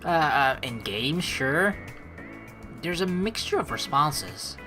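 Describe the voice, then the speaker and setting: A woman's voice: low-pitched, sing-song, slow pace, bright, forceful tone. A woman hosting a let's play, chatting informally with rambling asides and reading the game's story text aloud. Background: game music.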